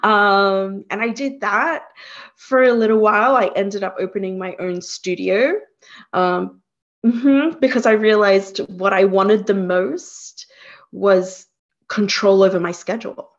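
Unhurried Australian woman guest who thinks aloud; slightly dull lo-fi recording. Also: medium pitch. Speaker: guest, career coach. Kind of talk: conversational interview.

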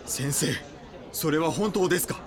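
An actor playing a fervent, awestruck cult disciple in an audio drama, with a husky, rough voice. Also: male voice, a little high, moderate pace, crowd noise, natural voice. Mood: eager, awed.

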